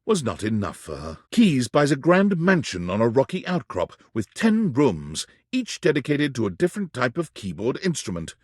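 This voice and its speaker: Husky, theatrical game narrator. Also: male voice, mid-range voice, wildly swooping pitch, normal speed, few pauses, dry recording, studio quality. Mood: storytelling, measured. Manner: erudite, theatrical, florid, precisely worded. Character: erudite, theatrical male narrator of a whimsical game. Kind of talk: scripted dramatic narration.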